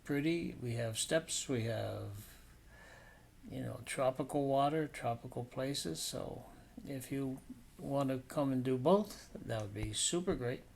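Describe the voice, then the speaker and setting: A man's voice: medium pitch, unhurried, creaky, darkish tone, a little hiss or hum. A man narrating a painting demonstration as an instructor, in an informal, explanatory and somewhat hesitant way.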